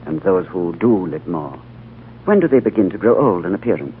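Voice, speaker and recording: An actor playing an earnest, awestruck traveler in a 1940s radio drama, with a dramatic, literary delivery. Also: male voice, low-pitched, animated, moderate pace, slight background noise. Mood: wondering. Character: earnest, awestruck male traveler narrating his tale. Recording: tinny 1940s radio recording under music.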